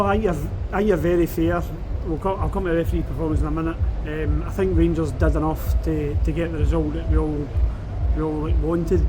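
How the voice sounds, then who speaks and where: Scottish man chatting football outdoors. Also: fairly high pitch. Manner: animated, rhetorical questions. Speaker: podcast host. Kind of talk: casual football chat. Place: outdoors on a street after a match. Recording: outdoor street noise behind.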